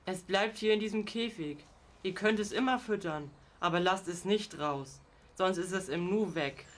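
A woman's voice: fairly low pitch, moderate pace, close to an everyday voice. A woman playing a practical, matter-of-fact parent in an amateur radio play. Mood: instructive.

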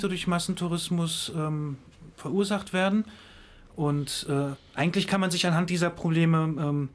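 A middle-aged man, explaining things in an interview with lots of filler sounds and restarts. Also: on the high side. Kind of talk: hesitant casual explanation.

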